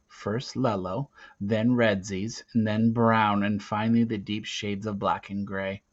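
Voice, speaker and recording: A man reading a story aloud as narrator, with a dramatic, expressive delivery. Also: fairly low pitch. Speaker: reader of a children's novel. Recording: dull, lo-fi recording.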